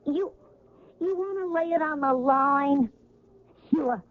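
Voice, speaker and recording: A woman's voice: sits high, takes it slowly. An actress playing a blunt, scornful working woman, curt and sarcastic, in a radio drama. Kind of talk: 1970s radio drama acting. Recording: tinny narrow-band radio recording.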